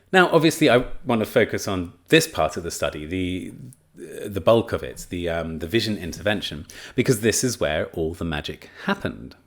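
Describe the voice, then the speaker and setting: A man presenting a research study in a casual spoken lecture, chatty and enthusiastic, with frequent fillers and restarts. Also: low-pitched. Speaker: teacher trainer presenting a study.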